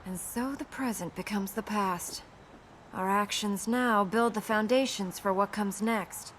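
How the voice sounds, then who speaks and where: Actress as resolute young game princess, earnest, formal, mid-pitched female voice, clear noise behind. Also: measured pace, fairly bright tone, natural voice, poor audio. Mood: solemn, determined. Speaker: video game companion character. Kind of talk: video game dialogue. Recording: game audio over clear ambient noise.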